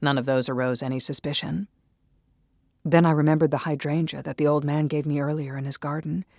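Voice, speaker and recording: A woman giving dramatic first-person story narration in a measured, intimate manner. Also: sits low, steady tone, darkish tone, no echo, studio quality. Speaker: audiobook-style narrator. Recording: music behind the voice.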